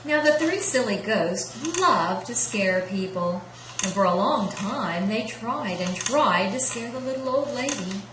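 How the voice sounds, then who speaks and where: A woman's voice: medium pitch, lively intonation, measured pace. A woman narrating a children's story as a puppet-show storyteller. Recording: roomy sound with clear background noise.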